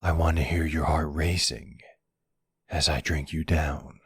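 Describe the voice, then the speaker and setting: A man performing as a predatory, teasing male vampire in an ASMR roleplay. His voice is very deep and husky, drawled slowly and seductively. It sounds smug and intimate. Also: fairly even intonation, fairly bright tone, dry recording, studio quality, heavily acted. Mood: menacing, possessive. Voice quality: low, gravelly, husky. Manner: slow, taunting, seductive drawl.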